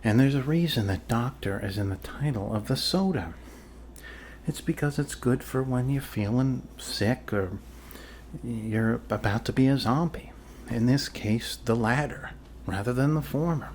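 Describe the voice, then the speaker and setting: A man doing an improvised ASMR role-play as a calm, quirky doctor, speaking haltingly and reassuringly to a patient. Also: mid-range voice, slight background noise. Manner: halting, reassuring, trailing off with fillers and pauses. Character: calm, quirky male doctor treating a zombie bite.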